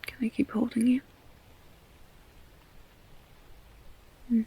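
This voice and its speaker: A woman's voice: on the high side, level tone, quick pace, gravelly, mellow, slight background noise, rough recording. A young woman playing an affectionate, self-deprecating girlfriend in an intimate roleplay audio, rambling candidly and casually. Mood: tender, hushed. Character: affectionate, self-deprecating girlfriend comforting her partner, goofy storyteller.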